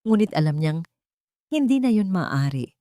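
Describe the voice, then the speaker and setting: A Filipino woman reads a scripted dramatic story. Her voice sits fairly low. The sound is dry, free of room echo. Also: sing-song, a little slow, dark tone. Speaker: story narrator. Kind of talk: scripted dramatic audiobook narration.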